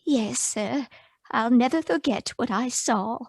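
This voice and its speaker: A woman's voice: a little high, takes it slowly, vocal fry, a little mellow, husky, dry recording, heavily acted. A woman playing a formal, deferential housekeeper in an old-time radio mystery. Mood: earnest, shaken. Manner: formal, dutiful. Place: remote video call performance.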